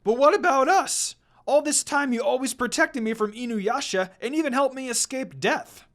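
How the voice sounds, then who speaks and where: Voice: high voice, normal speed, fairly bright tone, pitched above the performer's own voice. A reader voicing a brash, possessive and jealous young male wolf demon in a fan fiction story. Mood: pleading, shocked.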